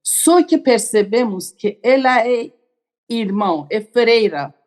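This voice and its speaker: A woman speaks as a podcast guest, in a somewhat high voice. She tells her story earnestly, with simple and broken phrases. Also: lively intonation, slow pace, darkish tone. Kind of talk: conversational storytelling.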